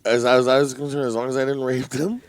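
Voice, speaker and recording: A man's voice: mid-range voice, flat delivery, quick pace, dry recording. A young man co-hosting a podcast, blunt and boastful, swearing freely in casual banter. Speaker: podcast co-host.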